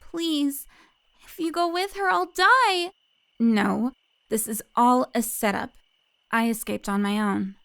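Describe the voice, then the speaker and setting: An earnest woman held captive, played by an actress, speaks in a fairly high, creaky voice with dramatic urgency. It is a close, dry audio drama performance. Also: slow, a bit bright, no room sound, heavily acted. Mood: urgent pleading. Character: earnest, pleading female captive, hero's godmother. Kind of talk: cinematic audio roleplay acting.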